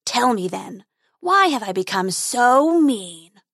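A woman voicing a huge, menacing male dragon who speaks in slow, booming, drawn-out lines. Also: big pitch swings, fairly bright tone, dry recording, studio quality, heavily acted. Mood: brooding. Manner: booming, drawn-out, talks of eating people.

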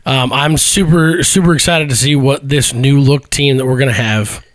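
An American man co-hosting a sports podcast in casual banter, tipsy, excitable, rambling and swearing freely. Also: mid-range voice, moderate pace, no echo. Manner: boisterous, profane, rambling, excitable. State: tipsy after hours of drinking. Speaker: podcast co-host.

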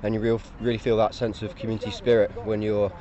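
A man's voice: fairly low pitch, level tone, quick pace, mellow. A man speaking enthusiastically and fluently. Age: 20s. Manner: enthusiastic, articulate. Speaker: parkrun runner interviewee. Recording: dull lo-fi sound.

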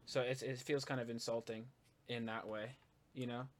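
A man hosts a relaxed interview, chatty and supportive of his guest. He talks in a mid-range voice and strings thoughts together with fillers. His speech is loose and conversational. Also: medium pitch, moderate pace, slight background noise. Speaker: interviewer, YouTube channel host. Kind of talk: casual interview chat.

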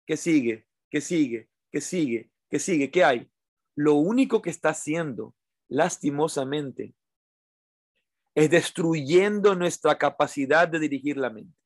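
Higher-voiced man teaching informally. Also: unhurried, no room sound, studio quality. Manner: didactic, repeats phrases for emphasis. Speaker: meditation teacher. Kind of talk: informal spoken teaching to an online audience.

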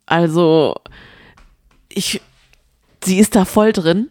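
Low-pitched woman chatting, close dry sound. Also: extremely slow, darkish tone, no room sound. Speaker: podcast co-host. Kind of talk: casual chat about reality TV.

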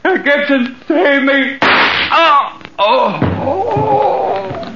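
A man's voice: extremely high pitch, sing-song, very slow, exaggerated voice. An actor playing a terrified, cornered man who stammers and pleads, in the style of a 1940s radio thriller. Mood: panicked. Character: terrified cowardly man, cornered and begging for his life. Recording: muffled, faintly noisy old radio recording, echoey.

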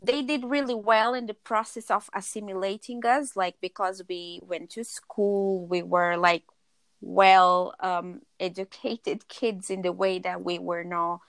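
A woman's voice: mid-range voice, steady tone, measured pace, no echo. A woman in her thirties from Portugal, a podcast guest telling her life story in an earnest way, often stopping to search for words. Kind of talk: personal storytelling in conversation.

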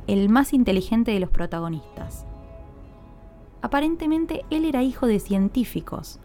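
Higher-voiced woman narrating, mellow tone. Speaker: podcast host. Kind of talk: scripted storytelling narration.

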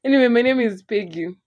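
A Kenyan woman co-hosting a podcast in casual, lively chat, laughing often as she talks. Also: fairly high pitch. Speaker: podcast co-host. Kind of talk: casual chat, code-switching.

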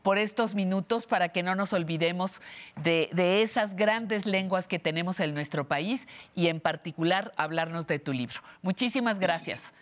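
A woman's voice: fairly low pitch, moderate pace. A Mexican woman hosting a television interview, asking questions and thanking her guest with frequent affirming interjections. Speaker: host.

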